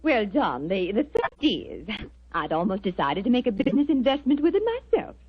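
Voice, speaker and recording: An actress playing a sweet, practical Victorian doctor's wife, affectionate and gently coaxing, in a 1940s radio drama. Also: female voice, mid-range voice, big pitch swings, moderate pace, slightly rough. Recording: muffled 1940s radio broadcast.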